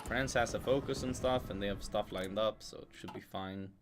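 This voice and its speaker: A man's voice: fairly low pitch, normal speed, background noise. A man giving casual live commentary on a strategy game, thinking aloud as he goes.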